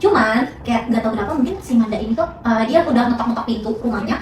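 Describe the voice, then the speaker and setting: A woman's voice: on the high side, expressive, racing, roomy sound, distant mic, background noise. A young woman, a student casually telling a spooky story on a podcast, with lots of fillers and restarts. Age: young adult. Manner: chatty, many fillers and restarts.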